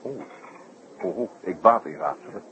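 Casting: sharp, teasing detective, deep and very animated, hesitant wry drawl, old radio play sound. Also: male voice, moderate pace. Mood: wry, probing. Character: Shadow, sharp, laconic, teasing male detective. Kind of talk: 1960s Dutch radio drama acting. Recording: dull, noisy 1960s radio recording.

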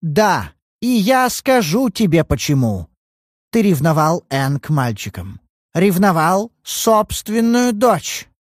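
A man's voice: high-pitched, big pitch swings, slow, no room sound, studio quality, voice pushed up, exaggerated voice. A man voicing a distraught young woman who defends her sister. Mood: accusing. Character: young woman, protective sister, pale and upset.

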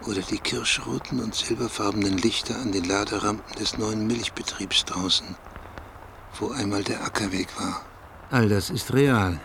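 An actor playing a brooding small-town man who tells his memories in a measured, reflective radio play monologue, with a husky, rough-edged voice. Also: lowish voice, slight background noise. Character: brooding small-town man recalling local gossip and the dead. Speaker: narrator. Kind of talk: literary radio play monologue, scripted narration.

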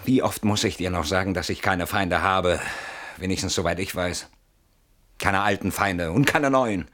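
An actor playing an anxious, defensive husband in a classic German radio play, agitated, stubborn and sardonic, with dramatic pauses and repeated words. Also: male voice, low voice, fairly bright tone. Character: anxious, defensive husband barricaded behind his door.

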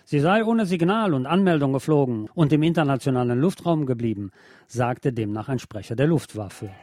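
A man's voice: mid-range voice, lively intonation, moderate pace, a little mellow, no echo, clean studio sound. A man reading scripted political news and commentary as a radio presenter.

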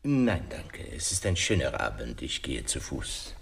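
An actor playing a courteous, meticulous gentleman detective in a German radio drama, speaking politely, formally and deliberately. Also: a bass voice, wildly swooping pitch, vocal fry, slightly rough, heavily acted.

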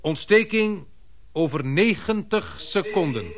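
High-pitched, commanding expedition leader. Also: male voice, animated, takes it slowly. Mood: tense, commanding. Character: commanding expedition leader, male, tense but controlled. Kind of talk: Dutch radio drama acting.

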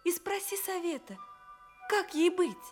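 An actress playing a lonely, wistful young maiden in an audio fairy-tale play. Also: female voice, very high-pitched, big pitch swings, unhurried, soft background music, heavily acted.

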